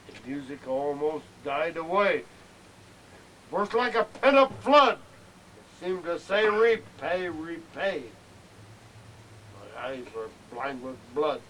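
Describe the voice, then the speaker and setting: A man giving a theatrical recitation of a narrative frontier ballad, swelling with emphasis and dropping to hushed lines. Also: on the high side. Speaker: amateur poetry reciter. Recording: noisy amateur VHS home video.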